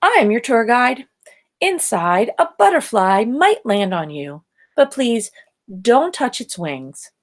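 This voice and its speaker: A polite, brisk guide from a children's storybook, put on by a woman reader. She announces things instructively with an animated, swinging pitch and measured timing. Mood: welcoming, instructive. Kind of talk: children's storybook read-aloud.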